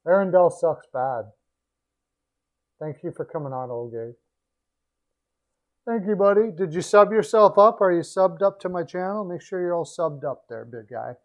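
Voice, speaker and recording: A man hosting a live hockey chat stream, hoarse and losing his voice, talking in a low-energy, hesitant way. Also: lively intonation, moderate pace, long pauses. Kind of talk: casual hockey chat with viewers. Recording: dull lo-fi stream audio.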